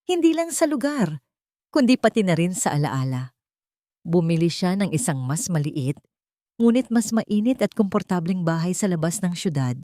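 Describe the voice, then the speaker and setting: Female narrator for scripted drama, lower register, very little room sound. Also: mellow, no echo, clean studio sound.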